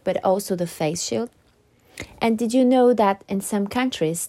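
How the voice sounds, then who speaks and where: A woman, a student hosting her own podcast and reading prepared remarks in a careful, measured way. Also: mid-range voice, warm tone. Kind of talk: scripted podcast talk.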